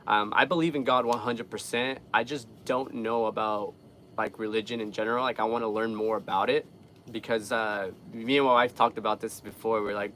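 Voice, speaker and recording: A man's voice: on the low side, a bit bright, slight background noise. A young man from Guatemala chatting casually with live viewers in an earnest, rambling, informal way. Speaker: live streamer.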